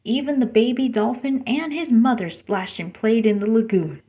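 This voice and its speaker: A woman's voice: on the high side, normal speed, mellow. A woman reading a children's storybook aloud as narrator. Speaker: storybook reader.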